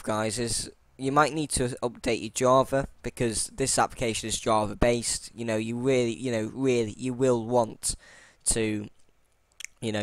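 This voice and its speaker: A man narrates a software tutorial over his screen recording, rambling and circling back with frequent ums and half-started sentences. His voice is smooth and clear, sitting at a middling pitch. The recording is dry and close, with no room sound around it. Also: dry recording. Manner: rambling, lots of fillers and restarts; self-deprecating. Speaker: tutorial channel host.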